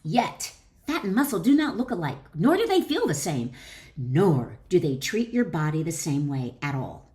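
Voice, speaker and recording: A woman speaking as an author and wellness teacher, addressing the listener directly in emphatic, deliberate phrases as she instructs and reads from her book. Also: lowish voice, very animated, mellow. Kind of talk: instructional talk mixed with expressive reading aloud from own book.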